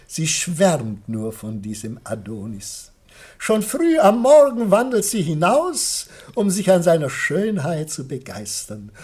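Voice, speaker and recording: Husky, grandiloquent middle-aged father. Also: fairly high pitch, darkish tone, exaggerated voice. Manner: grandiloquent, declamatory, effusive. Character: proud, rapturous father Ambrosius, besotted with his artwork. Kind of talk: theatrical German radio play acting.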